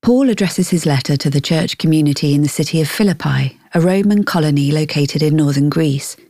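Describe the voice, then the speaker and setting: Low, mellow woman reading. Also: a little fast, no echo, studio quality. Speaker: podcast host and devotional reader. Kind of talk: scripted devotional narration.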